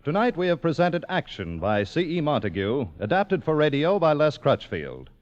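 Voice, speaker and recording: A man's voice: a little high, huge pitch swings, normal speed. A man reading scripted announcements in formal, declarative 1940s network radio style. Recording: muffled 1940s radio recording, music behind.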